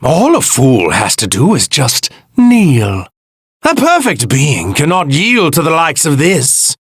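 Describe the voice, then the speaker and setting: Husky, pompous evil god, wildly animated. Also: male voice, a little high, takes it slowly, no room sound, clean studio sound, heavily acted. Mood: gloating. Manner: pompous, theatrical, grandiose, menacing. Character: arrogant evil god in a stolen warrior body. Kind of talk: anime video game voice lines.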